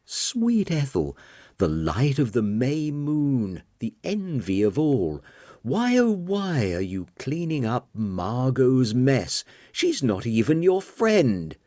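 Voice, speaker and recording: A man's voice: mid-range voice, huge pitch swings, slow, warm tone, no room sound, clean studio sound, voice pushed up. A man voicing a sly, taunting male monster with a teasing, mock-poetic charm in an audiobook. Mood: mock-flattering.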